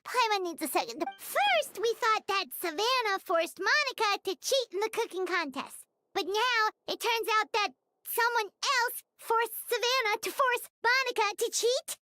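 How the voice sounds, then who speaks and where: A woman voicing a small childlike fairy companion in an anime game, excitable and blunt, prone to exclaiming. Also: extremely high pitch, normal speed, no room sound, studio quality, full character voice. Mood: exasperated. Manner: exclamatory, refers to self in third person.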